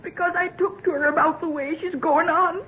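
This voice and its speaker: A woman's voice: very high voice, steady tone, brisk. An actress playing a distressed, ashamed young country girl with a rural English accent in an old radio melodrama. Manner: plaintive, tearful. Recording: tinny, hissy old radio drama recording.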